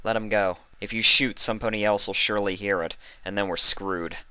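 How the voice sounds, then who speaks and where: A tough female unicorn pony in a storybook tale, performed by a man. The voice is lifted well above his own, with a bright, forceful edge. She is protective, blunt and urgent. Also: fairly low pitch, steady tone, fairly quick, smooth, dry recording, pitched above the performer's own voice. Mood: firm, cautioning. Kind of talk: fan fiction story reading.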